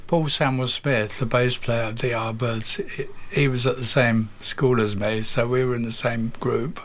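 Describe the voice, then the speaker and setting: Older English man, halting and relaxed. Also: mid-range voice. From England. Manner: halting, with many fillers and repeated words. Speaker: guest, drummer. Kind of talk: casual interview storytelling.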